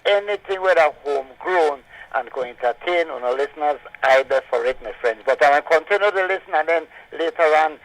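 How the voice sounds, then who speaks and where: Genial high-pitched Belizean man phoning in. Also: expressive, fairly quick, fairly bright tone, rough recording. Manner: courteous, genial, repetitive. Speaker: caller to radio show. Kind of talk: casual phone-in chat. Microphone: telephone.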